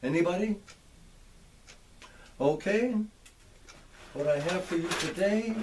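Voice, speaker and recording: An older American man with a fairly high but soft, mellow voice. He presents in a folksy, measured way, speaking straight to his viewers as a hobbyist showing off his gear. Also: a little hiss or hum, low-quality recording. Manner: measured, addresses viewers directly with rhetorical questions. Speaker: presenter, antique radio hobbyist. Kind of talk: folksy show-and-tell presentation to camera. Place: home workshop.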